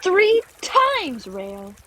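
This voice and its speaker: A woman playing a bashful, insecure, emotional young adventurer in a fantasy audio drama, speaking in a halting way. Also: mid-range voice, expressive, breathy edge, full character voice. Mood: angry.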